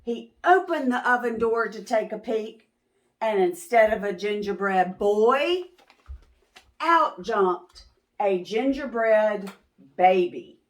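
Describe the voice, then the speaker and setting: A woman reading a picture book aloud to young children as host, expressive and pausing to ask questions. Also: mid-range voice.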